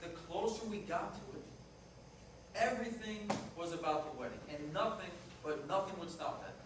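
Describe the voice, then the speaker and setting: Guy acting a blunt restless ex-fiance in a play reading, high voice, lots of background noise. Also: moderate pace, close to an everyday voice. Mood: bitter, insistent. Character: restless, blunt ex-fiancé from an Italian-American family. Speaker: actor in a staged play reading. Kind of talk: staged reader's theater dialogue. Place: live staged reading in a reverberant room. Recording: echoey, noisy room, distant mic.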